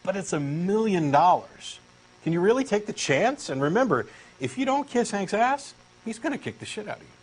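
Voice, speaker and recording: A man's voice: high-pitched, big pitch swings, normal speed, breathy edge, rough recording. A man with a Texan accent reading a comic dialogue as an eager, insistent believer. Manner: eager, insistent true believer, earnest and pushy. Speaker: co-host doing a comic dramatic reading.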